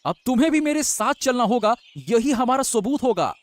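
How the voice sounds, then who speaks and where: A man voicing a greedy, lying elder brother with a sly, flattering manner in a moral-story cartoon. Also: very high voice, moderate pace, dry recording. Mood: conspiratorial. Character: scheming young man, greedy elder brother who lies. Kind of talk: animated Hindi moral-story dialogue.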